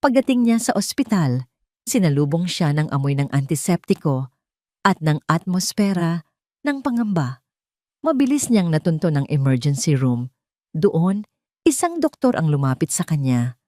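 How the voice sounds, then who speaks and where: A woman with a low voice narrates a dramatic love story. Her phrasing is deliberate and solemn, broken by dramatic pauses. The sound is very dry, with no room around it. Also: big pitch swings, dark tone, no echo.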